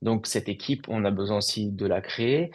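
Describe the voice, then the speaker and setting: Laid-back French entrepreneur, low voice. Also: male voice, normal speed. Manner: loose and conversational, lots of fillers. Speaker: entrepreneur guest. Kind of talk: casual testimonial interview.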